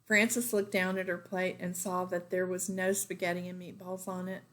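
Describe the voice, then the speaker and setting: A woman's voice: on the low side, moderate pace. Woman reading a storybook aloud. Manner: expressive, pointing out pictures to listeners. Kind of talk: storybook read-aloud for young children.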